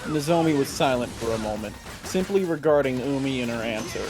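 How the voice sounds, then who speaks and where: A man's voice: mid-range voice, animated, brisk, music in the background. A man narrating a fan fiction story aloud in a live read-along.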